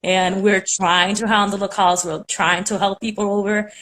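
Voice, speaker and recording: A woman's voice: medium pitch, level tone, moderate pace, fairly bright tone. Young Puerto Rican woman chatting on a call. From Puerto Rico. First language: Spanish. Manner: rambling, many fillers. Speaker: caller on a live stream. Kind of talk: casual chat.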